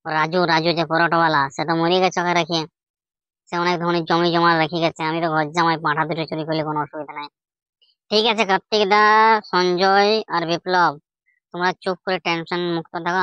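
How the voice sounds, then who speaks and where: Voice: medium pitch, fairly even intonation, fast talker, a bit bright, smooth, no room sound, muffled recording, close to an everyday voice. A performer voicing a crude, scheming village club drinker with coarse colloquial talk in a comedy cartoon. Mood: cocky.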